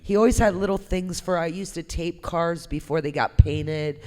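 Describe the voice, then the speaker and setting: A woman artist giving a candid, conversational talk, speaking in an informal, emphatic and expressive way. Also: lowish voice, normal speed. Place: indoor gallery talk, reverberant room. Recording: echoey hall with long reverb.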